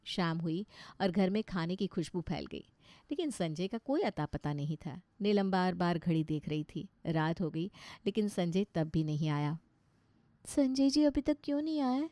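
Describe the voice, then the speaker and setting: A woman's voice: fairly low pitch, normal speed, dark tone, no echo. A woman storyteller reading scripted narration of a moral tale.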